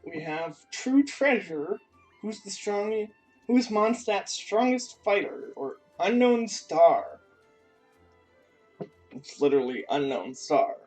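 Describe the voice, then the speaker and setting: A woman's voice: mid-range voice, big pitch swings, slow, a little mellow, soft background music. A woman game streamer chatting casually on a livestream.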